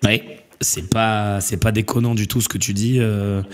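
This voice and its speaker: Male radio host, low-ish voice, losing his voice to a cold; easygoing, rambling delivery. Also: normal speed. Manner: relaxed, rambling, many fillers. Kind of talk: casual call-in chat.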